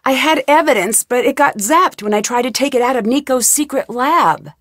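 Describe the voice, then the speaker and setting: A young woman detective in a game, polite and curious, presses people with earnest questions. Her voice sits a little high. The studio recording is dry and close, with no room sound. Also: moderate pace, fairly bright tone, dry recording. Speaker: voice actor. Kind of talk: game voice lines. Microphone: studio mic, dry.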